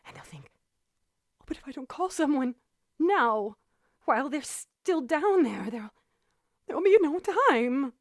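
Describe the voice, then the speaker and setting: A woman acts out an imperious, anxious invalid wife in a radio thriller. Her voice is extremely high and swings widely in pitch, fretful and dramatic, recorded dry and close. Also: very animated, measured pace, pauses often, dry recording, full character voice. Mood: frightened, hushed. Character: nervous, imperious invalid wife, bedridden, increasingly terrified. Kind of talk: old-time radio thriller acting.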